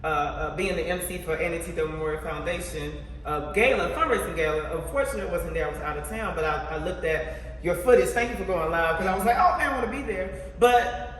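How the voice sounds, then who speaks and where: A man's voice: on the high side, quick pace, a bit bright, a little hiss or hum. Man interviewing, very echoey distant hall. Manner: reflective, faith-driven, asks long rambling questions. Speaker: interviewer host. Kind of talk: casual interview chat.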